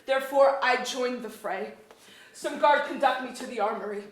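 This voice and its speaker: Woman as regal commanding queen, high voice, stately archaic verse, echoing auditorium, stage drama. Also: normal speed, close to an everyday voice. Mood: defiant. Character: proud, fearless warrior queen, regal and commanding. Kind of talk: staged reading of mock-Shakespearean verse drama. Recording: echoey auditorium.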